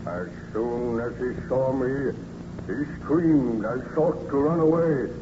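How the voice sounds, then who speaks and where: A man's voice: mid-range voice, slow, close to an everyday voice. A man playing a hideous, vengeful monster with ponderous, theatrical menace in an old radio drama. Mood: brooding, menacing. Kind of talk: 1930s radio acting. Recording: dull, noisy old radio recording.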